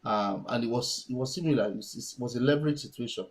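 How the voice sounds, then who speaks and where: A man's voice: mid-range voice, fast talker. A man whose first language is Yoruba, giving casual market commentary and thinking aloud with many restarts and fillers.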